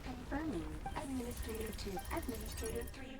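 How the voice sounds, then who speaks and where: A woman voicing a female robot in a video game, giving brisk, clipped, mechanical system announcements. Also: fairly high pitch, darkish tone, slight background noise, natural voice. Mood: procedural.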